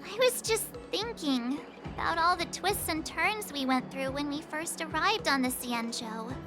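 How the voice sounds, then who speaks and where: Chatty teenage girl, very high voice, perky exclamations, game dialogue, clear music behind. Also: unhurried. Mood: cheerful, chatty. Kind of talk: video game character dialogue.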